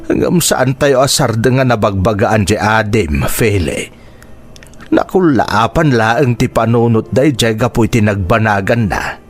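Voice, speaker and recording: A man's voice: fairly low pitch, unhurried. A man playing a stern older Filipino father. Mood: earnest. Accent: Ilocano Filipino. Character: stern, burdened older village father of two daughters. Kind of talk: radio drama acting.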